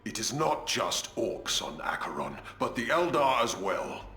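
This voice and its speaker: A man's voice: on the low side, very animated, a little slow, roomy sound, exaggerated voice. A man playing a noble, zealous, battle-hardened space-marine commander in a video game, with a heroic, declamatory delivery. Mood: grave, defiant. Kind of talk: dramatic video game acting. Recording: loud music over the voice.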